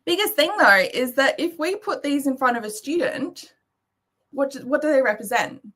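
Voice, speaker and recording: A woman's voice: high voice, expressive, moderate pace, fairly bright tone. An Australian woman giving an earnest slide presentation, explaining step by step with frequent ums. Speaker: guest presenter. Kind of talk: slide presentation to an online summit.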